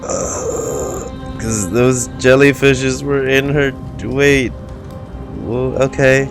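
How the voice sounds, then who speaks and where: A man's voice: medium pitch, drawn-out words. A man doing casual, slangy, profane live reaction commentary as a video game streamer. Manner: slangy, expressive. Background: game music.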